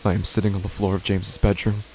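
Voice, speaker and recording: A man giving a tense, hushed first-person reading of a horror story. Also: on the low side, little pitch movement, fast, slight background noise, poor audio. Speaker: narrator.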